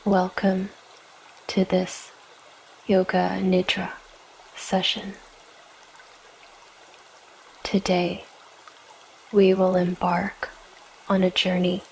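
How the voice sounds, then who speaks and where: Very slow, low-voiced woman guiding meditation. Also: creaky, a little hiss or hum, poor audio. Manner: gentle, soothing, measured with long pauses. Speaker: yoga nidra guide. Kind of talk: guided meditation, scripted narration.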